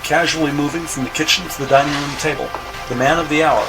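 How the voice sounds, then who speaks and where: A man's voice: a little high, fairly even intonation, fast talker, music in the background. A man reading scripted narration for a crime audio drama. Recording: noisy ambient sound bed.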